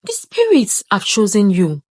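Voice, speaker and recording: A grave old village elder from a storybook folktale, voiced by a woman narrator. He speaks slowly and solemnly, with foreboding, his warnings shaped by expressive rises and falls. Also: medium pitch.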